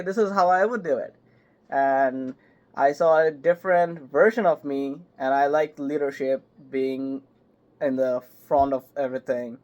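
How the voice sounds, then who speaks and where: Halting young man on a muffled line. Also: medium pitch, darkish tone. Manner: halting, fills with "like" and "yep". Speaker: voice actor guest. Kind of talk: casual interview chat. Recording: slightly muffled call audio.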